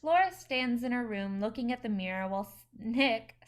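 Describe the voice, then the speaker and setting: A woman's voice: fairly high pitch, expressive, normal speed. A woman reading stage directions as scripted narration for a play reading.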